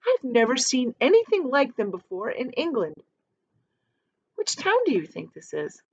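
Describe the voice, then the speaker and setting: Storybook lady ladybug, done by a woman reader: wondering, exclaiming, raised pitch, slightly dull recording. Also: wildly swooping pitch, moderate pace, a little mellow, breathy edge, exaggerated voice. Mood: amazed. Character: astonished female ladybug, polite and wondering.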